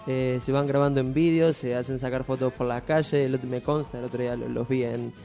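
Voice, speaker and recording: A man with an Argentine accent co-hosting an informal radio show, giving recommendations in a halting, hesitant way. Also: medium pitch, steady tone, gravelly, warm tone, soft background music.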